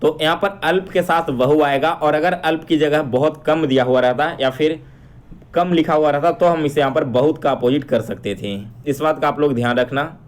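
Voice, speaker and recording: A man's voice: a little high, normal speed. A man teaching an exam-prep lesson, addressing learners directly and restating each question before explaining it.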